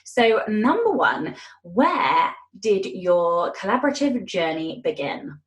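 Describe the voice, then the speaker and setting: A woman's voice: medium pitch, big pitch swings, slow pace. A woman hosting a podcast interview in a chatty style. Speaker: guest podcast host.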